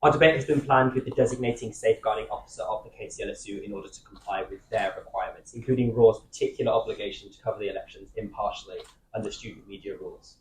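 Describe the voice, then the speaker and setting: A young man moderating a student debate, explaining the format quickly with some fillers. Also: lowish voice, reverberant, slight background noise, low-quality recording. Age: young adult. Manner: quick, slightly halting with fillers.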